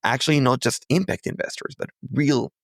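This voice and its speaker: A man's voice: medium pitch, a little fast, gravelly, no room sound, clean studio sound. A man speaking as an interview guest, a startup founder talking in a conversational, storytelling way.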